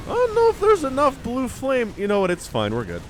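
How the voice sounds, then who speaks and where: A man giving casual, chatty live commentary on a video game as a shoutcaster, a bit sniffly with a cold. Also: extremely high pitch, wildly swooping pitch, brisk, a little hiss or hum. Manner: chatty, informal, slangy asides. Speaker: esports shoutcaster. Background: music.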